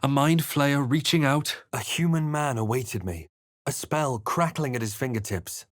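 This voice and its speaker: A man's voice: medium pitch, a little slow, no room sound, natural voice. A man voicing a composed, brooding fantasy adventurer, dry close sound. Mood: solemn, watchful. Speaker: video game player-character voice. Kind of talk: dramatic first-person narration and character barks.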